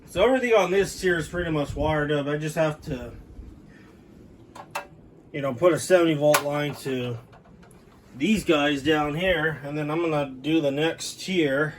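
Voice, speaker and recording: A man with a fairly high-pitched voice gives a casual, informal walk-through in home-video style. He chats as a hobbyist showing off his setup. The sound is that of a handheld camera mic indoors. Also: a little slow, pauses often, slight background noise. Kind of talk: casual handheld show-and-tell narration.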